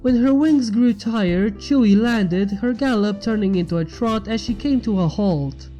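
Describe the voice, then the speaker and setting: A woman's voice: medium pitch, unhurried, mellow, lo-fi sound, soft background music. A woman reading fan fiction aloud as scripted story narration.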